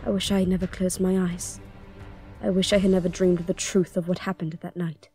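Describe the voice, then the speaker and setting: A woman's voice: lowish voice, flat delivery, a little fast, dark tone, soft background music, natural voice. A young woman playing an anxious, sorrowful princess in an audio drama, earnest and melancholy. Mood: melancholy, haunted. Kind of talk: audio drama acting and first-person narration.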